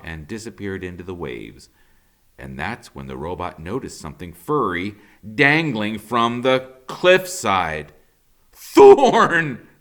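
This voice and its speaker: A man reading a children's novel aloud in a theatrical, emphatic storytelling style, with dramatic pauses. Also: mid-range voice, a bit bright.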